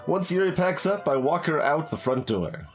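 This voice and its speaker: A man's voice: a little high, big pitch swings, normal speed. A man streaming a game, reading the narration aloud expressively and adding casual off-the-cuff commentary. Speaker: streamer doing a blind voiced playthrough. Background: music.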